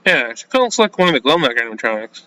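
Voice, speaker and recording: Animated man reading a game aloud. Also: mid-range voice, rough recording. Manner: flustered, halting, self-censoring with casual slang. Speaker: gaming video host. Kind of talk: reading a visual novel aloud with casual reactions.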